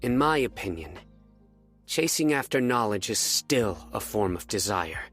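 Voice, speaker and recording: Pemuda tenang bersuara rendah. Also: male voice, on the low side, a little slow. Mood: calm, resolute. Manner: monotone, calm and collected, soft-spoken. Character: calm, resolute young man devoted to justice. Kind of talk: scripted video game voice lines. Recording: game clip with music behind.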